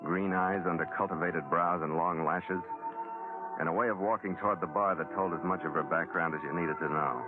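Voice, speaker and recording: A low-pitched American sea captain, played by a man in a 1940s radio adventure, speaks with dry, understated wryness. He is laconic and guarded, heard through a thin, tinny old broadcast with music behind it. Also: steady tone, a little fast, natural voice. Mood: wry, narrating. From United States. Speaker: lead actor and narrator. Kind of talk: 1940s radio adventure acting, first-person narration.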